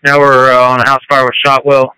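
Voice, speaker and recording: A man's voice: mid-range voice, monotone, brisk, pushed and bright, clear, resonant tone, no echo, poor audio. A man on a medic crew, giving terse reports over two-way radio. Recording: fire department two-way radio traffic.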